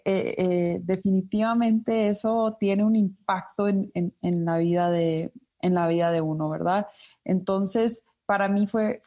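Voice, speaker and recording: A woman from Ecuador speaks as an academic guest in an interview, at a medium pitch. She answers reflectively and often pauses with fillers and restarts. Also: warm tone. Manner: thoughtful, many fillers and restarts. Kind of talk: interview conversation.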